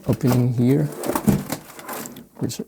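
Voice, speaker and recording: A man from the Philippines with a Filipino accent, speaking non-native English in casual, halting unboxing commentary as he searches for words. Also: medium pitch, fairly even intonation, creaky, background noise. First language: Filipino language. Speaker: sneaker unboxing vlogger. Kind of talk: casual unscripted product commentary.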